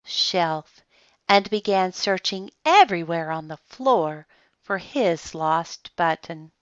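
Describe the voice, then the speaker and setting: A woman reading a children's story aloud, with dramatic pauses and deliberate emphasis. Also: lowish voice, expressive, no room sound, muffled recording. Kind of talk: expressive children's storybook narration.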